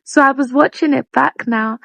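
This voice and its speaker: Young woman musing, with vocal fry. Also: high voice, fairly even intonation, normal speed, fairly bright tone, dry recording. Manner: chatty, reflective, laughs at herself. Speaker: vlogger and podcast host. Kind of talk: casual reflective monologue.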